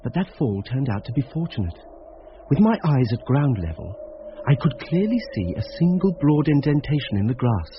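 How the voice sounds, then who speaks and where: An actor playing an earnest, rational amateur sleuth, thinking through his investigation in measured, dramatic radio drama style. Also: medium pitch, big pitch swings, close to an everyday voice. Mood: brooding. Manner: measured, reflective, talking things through to himself. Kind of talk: dramatic first-person radio drama narration and musing. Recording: muffled radio drama recording with background noise.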